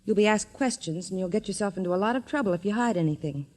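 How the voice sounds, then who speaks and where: A woman playing a sharp, caring detective's assistant in a 1940s radio drama, brisk, observant and straightforward. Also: medium pitch, lightly acted. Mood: firm.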